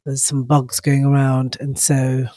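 A woman in her thirties hosts her own podcast with a stuffed-up, congested voice from a cold. She talks slowly and reflectively, pausing often with ums and restarting her sentences. The sound is dry and close, with no room echo. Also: rumbling low, monotone, mellow. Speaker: podcast host. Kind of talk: casual solo podcast talk.

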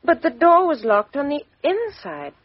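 An actress playing a composed, outspoken young Englishwoman with a crisp, frank upper-class delivery in a 1950s radio drama. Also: high-pitched, very animated, measured pace. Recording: dull 1950s radio recording.

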